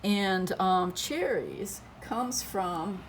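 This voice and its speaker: A woman's voice: mid-range voice, lively intonation, very slow, background noise. A woman who is losing her voice gives a chatty scrapbooking tutorial, thinking aloud as she works at her crafting table.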